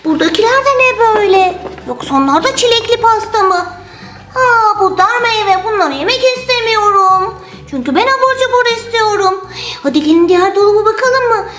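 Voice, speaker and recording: A woman voicing a hungry, excitable little turtle in a children's toy story, with childlike exclamations and a greedy manner. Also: very high voice, fairly quick. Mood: curious, picky. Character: hungry, excitable little turtle who loves junk food. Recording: roomy voice over loud music.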